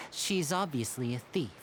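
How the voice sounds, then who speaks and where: A man voicing a youthful stage magician in a video game, polite, smooth and self-assured, with music behind him. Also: a little high, animated, takes it slowly, fairly bright tone, slight background noise. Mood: sly, alert. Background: prominent music.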